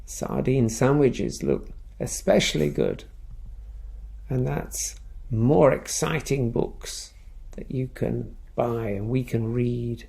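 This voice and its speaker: A man reading a picture book aloud for children, with expressive, playful storytelling and little asides. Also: mid-range voice, slow, vocal fry.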